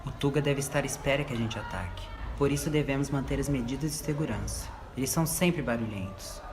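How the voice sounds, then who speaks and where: A man playing a stern guerrilla operations chief in an amateur radio drama, speaking in measured statements. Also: mid-range voice, natural voice. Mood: cautious, serious. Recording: echoey room with background noise.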